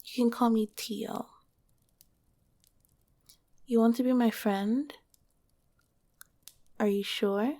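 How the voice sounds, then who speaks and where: A woman voicing a gentle, shy female slime monster girl in an ASMR roleplay, whispering softly and soothingly close to the microphone. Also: fairly high pitch, steady tone, slow pace, pauses often. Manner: soft whispering, soothing and hesitant.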